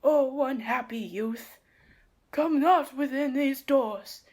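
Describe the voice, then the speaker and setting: Woman playing an anxious old servant man, high-voiced. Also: a little mellow. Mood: alarmed, pleading. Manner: earnest, emotive, slow declamation. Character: devoted old male servant, anxious and loyal. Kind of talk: Shakespearean radio play acting.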